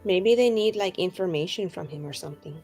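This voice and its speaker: A woman's voice: fairly low pitch, a little fast, dark tone, soft background music. A woman playing a friendly, naive young female adventurer in a tabletop game, rambling casually in very colloquial phrasing. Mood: concerned, chatty. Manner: rambling, casual, filler-heavy valley-girl phrasing. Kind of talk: improvised tabletop roleplay dialogue.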